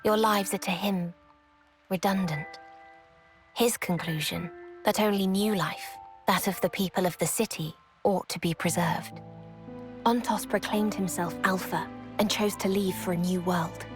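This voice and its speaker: Solemn otherworldly young woman, calmly grave game actress. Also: medium pitch, unhurried, over music, lightly acted. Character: solemn otherworldly young woman, the calm conscience of a godlike being. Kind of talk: video game cutscene acting.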